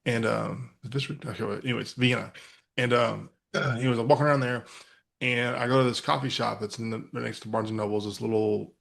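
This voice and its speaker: A man's voice: mid-range voice, steady tone, fairly quick, vocal fry, fairly bright tone. A man in his forties, a podcast host, telling a casual story with lots of fillers and restarts. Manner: rambling, many fillers and restarts.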